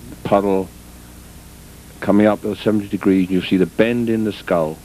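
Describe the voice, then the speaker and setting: A man giving measured, deliberate instructional sports commentary as a coach, in short phrases broken by pauses, on an old VHS tape recording. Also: fairly even intonation, warm tone. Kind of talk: instructional film commentary over footage. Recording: noisy, muffled old VHS tape, rumble.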